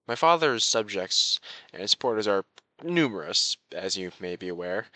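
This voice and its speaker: A man playing a courtly young crown prince, besotted and quietly possessive, speaking formally and floridly to the listener in a romantic audio roleplay. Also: on the low side, no room sound, exaggerated voice. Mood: polite, curious. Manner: formal, flowery, genteel speech.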